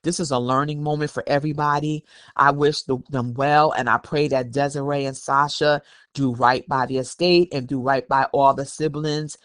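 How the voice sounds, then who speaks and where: A man's voice: medium pitch, steady tone, normal speed, a bit bright, clear, resonant tone, no room sound, studio quality. A man with an American accent hosting a podcast, giving opinionated conversational commentary in an emphatic, admonishing way and repeating phrases for stress. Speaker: podcast host.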